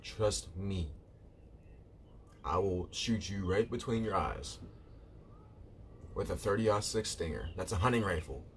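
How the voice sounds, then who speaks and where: A man's voice: lowish voice, a bit bright, slight background noise. A man live streaming, chatting casually with viewers in a rambling way, repeating himself and calling them "bro".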